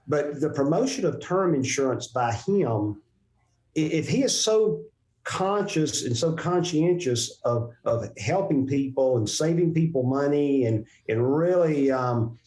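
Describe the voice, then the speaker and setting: A man's voice: medium pitch, darkish tone. Emphatic man in an echoey room. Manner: emphatic, repeats himself while building a point. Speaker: financial advisor, show host. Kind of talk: casual conversation. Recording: roomy echo.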